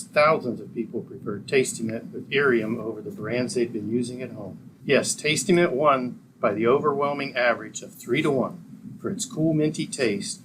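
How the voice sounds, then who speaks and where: A man reading an old-time radio ad as the announcer, in a polished promotional manner. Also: medium pitch. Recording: clear background noise.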